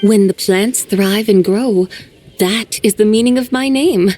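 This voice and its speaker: A woman voices a serene immortal woman in a video game. She speaks eloquently and formally at a medium pitch, with measured, poetic phrasing over faint music. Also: dark tone, close to an everyday voice. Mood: composed, frank.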